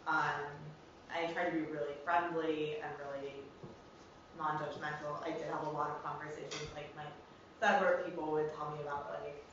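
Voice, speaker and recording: Young American female student presenter, informal and halting, muffled by a dull recording with clear background hiss. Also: deep voice, level tone. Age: young adult. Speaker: student researcher presenting fieldwork. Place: reverberant indoor room, recorded from afar. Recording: very echoey room, distant muffled mic, noisy.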